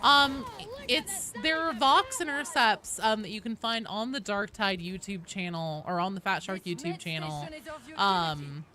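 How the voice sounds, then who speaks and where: A woman's voice: medium pitch, animated, measured pace, crowd noise. A woman livestreaming a game, chatting casually and discursively about it, with frequent swearing. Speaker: game streamer. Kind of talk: casual livestream gaming chat.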